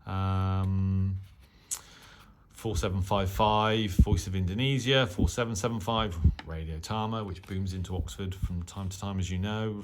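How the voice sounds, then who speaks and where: A middle-aged man with a British accent talks casually and unscripted, in a hesitant, rambling, matter-of-fact way as a hobbyist video host. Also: fairly low pitch.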